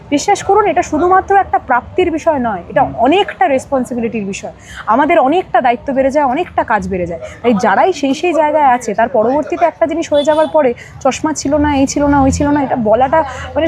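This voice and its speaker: A woman with a high voice and a Bengali accent speaks as a politician in an interview. She is emphatic and builds long sentences that circle back and repeat key phrases. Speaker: political party leader, interview guest.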